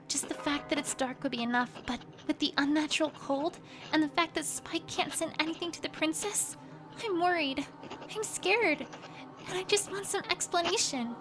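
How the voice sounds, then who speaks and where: A woman's voice: high-pitched, moderate pace, lightly acted, poor audio. A woman voicing an anxious young female unicorn scholar pony, reading her diary in an earnest, fretful first-person way. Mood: frightened. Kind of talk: journal read aloud as a fan audiobook. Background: music.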